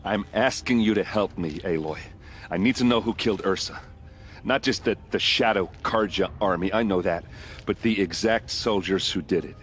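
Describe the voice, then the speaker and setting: Actor as a deep-voiced, blunt warrior man. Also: moderate pace, a bit bright, natural voice. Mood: earnest pleading. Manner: wry, blunt, earnest. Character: gruff, wry warrior man, grieving and loyal. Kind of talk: video game voice acting.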